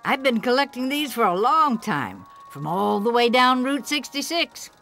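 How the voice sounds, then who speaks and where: A woman's voice: on the high side, very animated, moderate pace, sharp tone, soft background music, full character voice. A woman playing a forgetful, feisty elderly antique car in a video game, dotty and abrupt. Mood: fond reminiscing.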